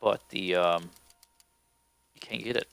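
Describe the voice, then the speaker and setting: A man with a very low voice talks through a video game in a loose, meandering way, full of ums and restarts. He sounds a little stuffed up and nasal from a cold, and faint music plays quietly behind him. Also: fairly even intonation, gravelly, clean tone, no room sound. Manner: rambling, unhurried, many ums and restarts. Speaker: gaming video host. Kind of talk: casual gameplay commentary.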